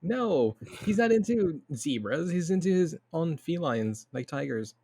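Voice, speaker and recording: A man's voice: a little high, big pitch swings, unhurried, vocal fry, mellow, slightly rough, no echo, studio quality. A man on a podcast panel, talking casually with friends. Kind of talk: casual group chat.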